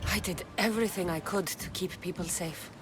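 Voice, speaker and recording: A woman's voice: fairly low pitch, brisk, noisy background, close to an everyday voice. Determined woman warrior played by an actress. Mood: remorseful. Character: fierce, protective Greek mercenary woman hero. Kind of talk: dramatic video game acting. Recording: dramatic music behind the voice.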